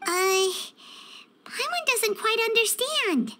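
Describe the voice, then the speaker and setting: A woman voicing a small, excitable, childlike female fairy companion in a video game, with a squeaky voice. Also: takes it slowly, heavily acted. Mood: puzzled. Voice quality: squeaky, shrill. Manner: refers to self in third person. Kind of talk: video game character dialogue.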